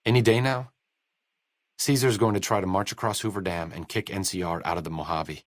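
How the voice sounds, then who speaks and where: A man's voice: low voice, steady tone, a little fast, no room sound, close to an everyday voice. A man playing a wry, bookish, self-deprecating doctor and researcher in a video game, with dry, erudite understatement. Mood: earnest. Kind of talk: video game character dialogue.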